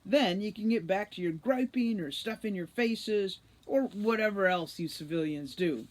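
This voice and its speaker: Woman acting a gruff, barking elf commander, loud and emphatic. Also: mid-range voice, normal speed, pitched above the performer's own voice, natural voice. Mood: commanding, irritated. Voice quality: gravelly.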